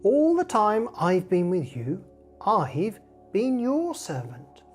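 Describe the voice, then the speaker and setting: Animated, unhurried woman reading a children's story. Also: medium pitch, faint music behind.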